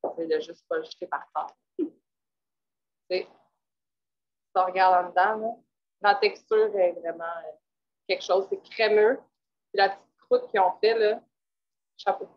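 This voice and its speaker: A woman's voice: mid-range voice, unhurried, pauses often, highs cut off. A woman co-presenting a live vegan cooking demonstration, chatty and casual. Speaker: cook co-presenting.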